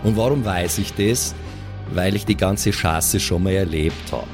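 A man's voice: low-pitched, measured pace. A man acts out an unfriendly Austrian camper in an improvised role-play audio drama. He grumbles in blunt, colloquial phrases, with prominent music underneath. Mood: grave, grumbling. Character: grumpy, unfriendly Austrian festival camper Johann.

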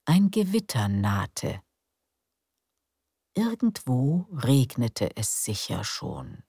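Slow, very low-voiced soothing woman narrator. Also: sing-song, warm tone, breathy edge, no echo, clean studio sound. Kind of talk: calm bedtime story narration.

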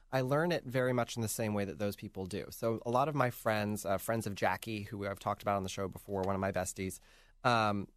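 A man's voice: lowish voice, no echo. Chatty man, dry close sound. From North Jersey. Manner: chatty, laughs often, many fillers. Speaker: podcast host. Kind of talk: casual chat.

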